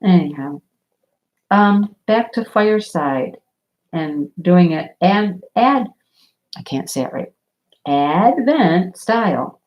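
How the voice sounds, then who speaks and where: A woman talks in a rambling, easygoing way while she works on a craft project. Her voice sits a little low, and her nose is stuffy and sniffly from a cold. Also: animated, takes it slowly, pauses often, highs cut off. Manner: rambling, chatty, laughs often. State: stuffy nose from a sinus infection. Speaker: diamond painting livestream host. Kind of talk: casual chat while crafting.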